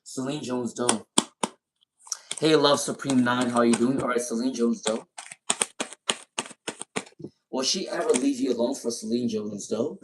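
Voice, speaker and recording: A man's voice: medium pitch, a little slow, long pauses, noisy background. A man with a non-native English accent and a speech impairment reads tarot live for his viewers. His voice sits in a middle range. He repeats each name and question several times as he works. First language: not English. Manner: repeats names and questions while pulling cards. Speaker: tarot reader. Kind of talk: live-stream tarot reading.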